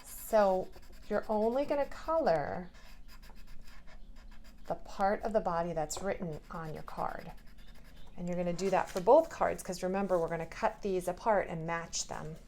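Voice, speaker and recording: Female preschool teacher for a children's craft lesson; unhurried, instructive, mid-pitched, with slight noise behind. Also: a little mellow. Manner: instructive, asks the listener simple questions. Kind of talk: hands-on lesson for young children. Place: indoors at a table, drawing on paper cards.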